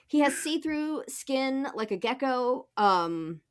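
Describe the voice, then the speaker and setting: A woman's voice: sits high, animated, slow pace, smooth. A woman with an American accent in casual political podcast chat, sweary and sardonic. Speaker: podcast panelist.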